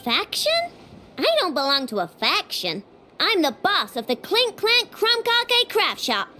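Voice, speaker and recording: A woman's voice: sits high, big pitch swings, measured pace, bright, forceful tone, slight background noise, full character voice. An earnest young girl inventor. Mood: worried. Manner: earnest, eager, a little naive. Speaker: workshop owner. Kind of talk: video game voice acting. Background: game music.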